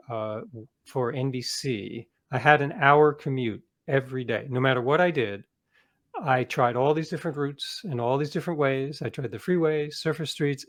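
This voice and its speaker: Middle-aged man telling his story in conversation. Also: mid-range voice. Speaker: guest, author and podcast producer. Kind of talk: interview storytelling, reflective monologue.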